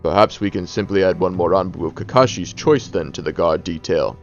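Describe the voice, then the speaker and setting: An actor playing a stern, measured older male village leader in a formal, deliberate way for an audio drama. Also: deep voice, close to an everyday voice. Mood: businesslike. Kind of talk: fan audio drama acting. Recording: dull lo-fi sound, faint music behind.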